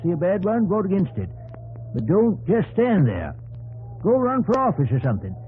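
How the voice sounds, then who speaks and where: An actor playing a gruff, impatient backwoods countryman, scolding in a folksy, plain-spoken way in a 1950s radio drama. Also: high voice, sing-song, moderate pace. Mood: scornful, lecturing. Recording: vintage radio broadcast, narrow band, faint noise.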